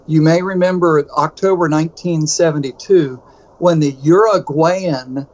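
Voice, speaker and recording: A middle-aged Texan man co-hosting a chatty podcast. His voice sits a little high, and the recording sounds slightly dull and muffled. He rambles and jokes at his own expense, often restarting his sentences. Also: measured pace, darkish tone. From United States. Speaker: podcast co-host. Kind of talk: casual conversational podcast.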